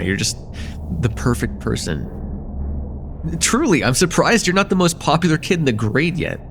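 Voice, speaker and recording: Young male student, played by a man, smitten and playfully teasing, for intimate audio roleplay; casual banter, expressive intonation, some vocal fry. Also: mid-range voice, a little hiss or hum. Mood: tender, sincere. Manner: casual, soft-hearted, hesitant, chuckling banter. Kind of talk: slice-of-life ASMR roleplay, intimate one-sided dialogue.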